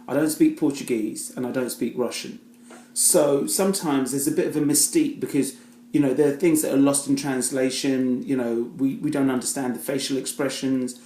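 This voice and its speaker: A man's voice: mid-range voice, steady tone, moderate pace, mellow, reverberant. A British-born man giving a casual, rambling spoken review, full of fillers and restarts. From Britain. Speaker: film reviewer.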